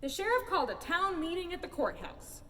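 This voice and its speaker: A woman reading a children's picture book aloud in an animated, theatrical storytelling style. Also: very high voice, brisk. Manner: theatrical, expressive. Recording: roomy hall, distant mic, faint noise.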